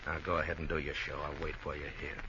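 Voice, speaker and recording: An actor playing a sardonic, suspicious small-time gangster in a 1950s radio crime drama, with tough, slangy, threatening talk. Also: male voice, very deep voice, level tone, fast, over loud music. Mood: menacing. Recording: old lo-fi radio recording, faint hiss.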